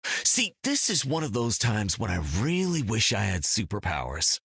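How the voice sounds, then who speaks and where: An actor playing a confident, wisecracking superhero archer in a video game, with casual, wry American banter. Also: male voice, on the low side, very animated, normal speed, creaky, fairly bright tone, husky, no echo, studio quality, exaggerated voice.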